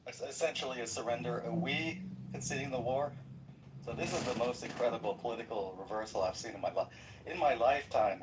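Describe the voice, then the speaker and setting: A man on a podcast panel talking about politics in an animated, astonished way, with stumbling restarts. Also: mid-range voice. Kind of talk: political discussion. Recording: distant mic, clear background noise.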